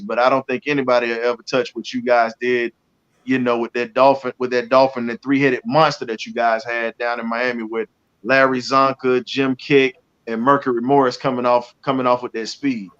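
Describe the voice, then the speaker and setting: A man's voice: mid-range voice, flat delivery, fairly quick, fairly bright tone. A middle-aged man hosting a sports talk show, introducing his guest with enthusiasm and plenty of fillers and restarts. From United States. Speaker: show host. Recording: slightly dull video call audio.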